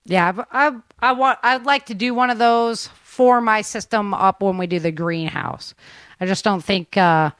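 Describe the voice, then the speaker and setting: A woman's voice: mid-range voice, moderate pace, fairly bright tone, clean tone, no room sound. A woman hosting a podcast in casual chat, informal and slangy, chiming in freely. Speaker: podcast host.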